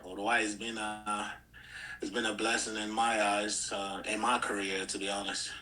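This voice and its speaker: A young man from Nigeria, a guest on an interview podcast, telling his story in a relaxed way with frequent "uh" fillers. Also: lowish voice, normal speed, rough recording. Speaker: guest, pro athlete. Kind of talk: casual interview chat.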